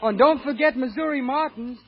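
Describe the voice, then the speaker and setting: A man's voice: very high voice, a little fast. An actor playing a plaintive street pencil peddler, a man, in an old radio drama. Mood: downcast, friendly. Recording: muffled, noisy old radio recording.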